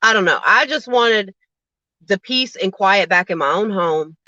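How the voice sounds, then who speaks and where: A woman in casual conversation, telling her side bluntly and with profanity in a naturally loud voice. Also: medium pitch, expressive, measured pace, no echo, highs cut off. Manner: blunt, profane, emphatic storytelling; says she has a naturally loud voice. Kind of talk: casual chat on a livestream.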